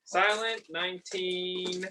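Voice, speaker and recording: A man's voice: high voice, little pitch movement, slow. A man giving brief, casual reactions as a guest while opening a package. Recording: noisy room with clicking and rustling.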